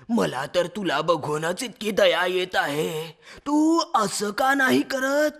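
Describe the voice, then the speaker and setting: A woman's voice: mid-range voice, a bit bright, pitched below the performer's own voice, full character voice. A woman voicing a sly, scheming male fox who serves as the lion's minister, with a coaxing, sweet-talking manner. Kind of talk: storybook character voice.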